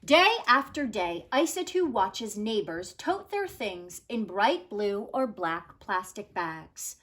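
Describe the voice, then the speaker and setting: A woman reading a children's picture book aloud like a teacher, with deliberate, expressive storytelling delivery. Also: mid-range voice.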